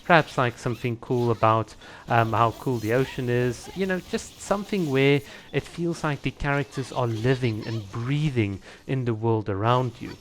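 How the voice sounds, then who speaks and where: A man's voice: medium pitch, normal speed. A man talking casually to viewers as a video creator, explaining his opinions and laying out ideas with examples.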